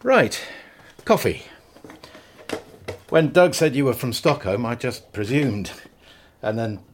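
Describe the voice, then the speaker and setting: A well-meaning village vet in a radio drama, hesitant and full of ums. His voice rises and falls with lively movement. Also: mid-range voice, slow pace, a little hiss or hum. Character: awkward well-meaning village vet, middle-aged man.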